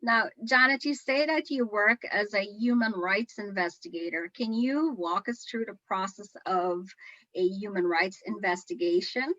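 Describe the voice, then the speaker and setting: Female host, middle-aged, bright forceful voice, dry but dull video-call sound. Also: medium pitch, normal speed, no room sound. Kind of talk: hosting a livestream interview.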